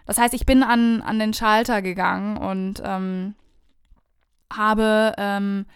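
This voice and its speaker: A woman telling viewers a personal travel story in a casual, animated, conversational way. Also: mid-range voice, slow pace, clear, resonant tone, dry recording, studio quality.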